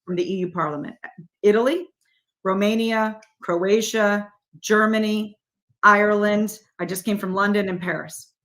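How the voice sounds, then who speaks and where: A middle-aged woman with a mid-pitched voice talks as an interview guest. She presses her points hard, throws rhetorical questions at the listener and speaks to viewers directly. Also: steady tone, normal speed, a bit bright. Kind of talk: emphatic political interview talk.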